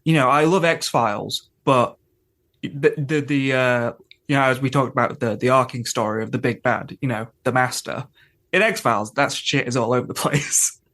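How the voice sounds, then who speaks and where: A man's voice: medium pitch, normal speed, a bit bright. Rambling man chatting on a podcast. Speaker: podcast host. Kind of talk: casual TV episode discussion.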